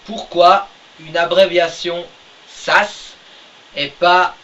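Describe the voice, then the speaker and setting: A man's voice: high-pitched, steady tone, extremely slow, pushed and bright. A man co-hosting a casual podcast who talks hesitantly, with many fillers and dry jokes. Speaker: podcast co-host, writer of the story. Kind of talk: casual chat. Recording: distant mic, dull lo-fi sound, faint hiss.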